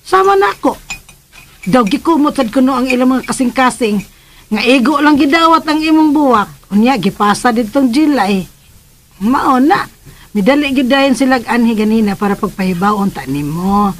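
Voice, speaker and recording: An actress playing a gossipy, sharp-tongued middle-aged mother in a radio drama, scolding and sardonic, with a Filipino accent. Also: a little high, lively intonation, normal speed.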